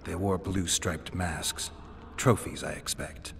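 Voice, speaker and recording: Weary sarcastic monster hunter for a video game, really low deadpan voice, noticeable background ambience. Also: male voice, takes it slowly, creaky, a little hiss or hum, full character voice. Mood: calm, matter-of-fact. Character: weary, sardonic male monster hunter held prisoner.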